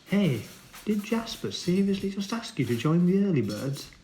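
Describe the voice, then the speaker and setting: Lady acting a cheeky brash bakery regular, really animated, teasing, roomy echo on it. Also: on the low side, normal speed. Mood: friendly. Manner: bantering, exclamatory. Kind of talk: audio drama acting. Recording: echoey room, faint noise.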